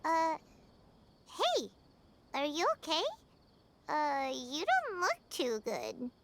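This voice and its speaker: A woman's voice: wildly swooping pitch, slow pace, a bit bright, a little hiss or hum, heavily acted. A woman voicing a small, childlike, chirpy fairy companion in a video game. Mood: concerned. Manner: chirpy, squeaky cartoon delivery.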